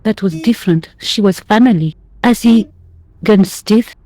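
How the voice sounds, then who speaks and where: A woman's voice: mid-range voice, a little slow, dark tone, close to an everyday voice. An actress playing a blunt, groggy, grumbling French woman who likes a drink, with a French accent, in an adventure game. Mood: shaken, frank.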